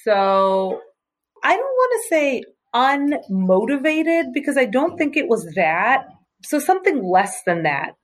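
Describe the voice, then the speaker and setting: A young woman being interviewed by her brother. She talks in a halting way, full of "like" and "um" as she hunts for words. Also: sits high, very animated. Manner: halting, filler-heavy, searching for words. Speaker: host's sister, interviewee. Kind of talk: casual interview.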